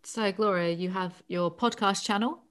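A woman's voice: medium pitch, normal speed, a bit bright, clean tone. A woman interviewer chatting in a casual, informal conversational way.

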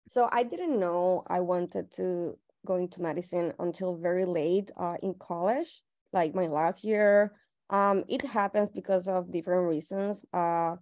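Young woman from puerto rico, med student, mellow voice, rambly with lots of ums. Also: mid-range voice. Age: young adult. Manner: loose, many fillers and restarts. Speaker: medical student guest. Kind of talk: interview answers.

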